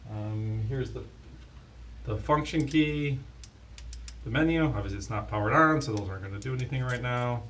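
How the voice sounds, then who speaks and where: A man's voice: mid-range voice, moderate pace, pauses often, a bit bright. A man hosting a casual, unscripted product demonstration, talking like an in-house expert. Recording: distant mic, faint background noise.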